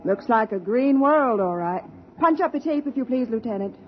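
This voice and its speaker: Actress as a stern high-voiced woman space commander. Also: lively intonation, normal speed, a little hiss or hum, natural voice. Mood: cool, businesslike. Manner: clipped, authoritative, impatient. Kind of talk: 1950s radio drama acting. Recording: dull vintage 1950s radio recording.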